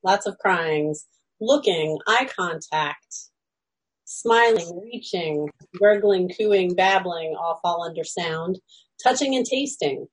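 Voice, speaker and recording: A woman's voice: fairly low pitch, slow, poor audio. A woman co-hosting an online workshop, reading the participants' typed answers aloud one item at a time. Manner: lists items one by one. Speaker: co-host reading out chat responses.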